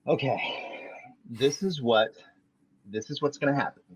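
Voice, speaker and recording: A man's voice: medium pitch, a little slow, slight background noise, natural voice, low-quality recording. A man reading the part of a laid-back male bartender friend at a podcast table read, with a coaxing, conspiratorial manner.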